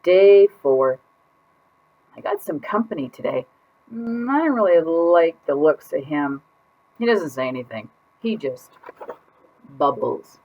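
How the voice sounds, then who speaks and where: A woman's voice: sits low, big pitch swings, a little slow, long pauses, slight background noise, exaggerated voice. A woman reading a children's picture book aloud as a put-upon goldfish keeping a diary, with exaggerated, exasperated emphasis. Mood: wary, grumbling. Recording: dull lo-fi sound.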